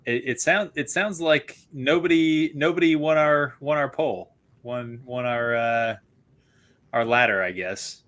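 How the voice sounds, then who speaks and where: A man hosts an online book club with a bright, forward voice at a middling pitch. He talks casually, laughs often, and trips over his own restarts. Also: unhurried.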